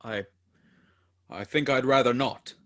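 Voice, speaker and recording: An actor playing a grumpy, doubting old miser in a comic radio musical, gruff and stammering. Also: male voice, medium pitch, unhurried, a bit bright. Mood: reluctant.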